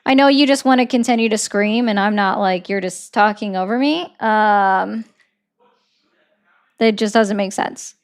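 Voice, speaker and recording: A woman's voice: medium pitch, measured pace, clean tone. A woman hosting a livestream call-in show, debating callers with pointed, sarcastic, repeated questions. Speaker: livestream host taking callers.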